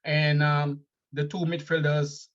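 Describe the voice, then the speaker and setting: Senegalese male fan on a call, calm and hesitant, slightly dull audio. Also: a little high, slow pace. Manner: hesitant, many ums, calm. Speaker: guest fan. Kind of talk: interview over a call. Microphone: phone or video call.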